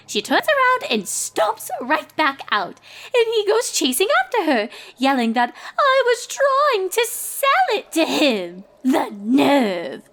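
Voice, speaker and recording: A woman's voice: high-pitched, a bit bright, pitched above the performer's own voice, exaggerated voice. A woman voicing a refined, gossipy young female unicorn from a cartoon, talking in a wordy, theatrical, indignant way. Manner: dramatic, prim, indignant, wordy. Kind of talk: cartoon fan-fiction character reading.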